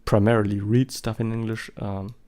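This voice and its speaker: A man's voice: fairly low pitch, level tone, normal speed, mellow. A man in his thirties, a native German speaker talking in English with a German accent, giving casual, rambling commentary with many hesitations and fillers. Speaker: photography vlogger.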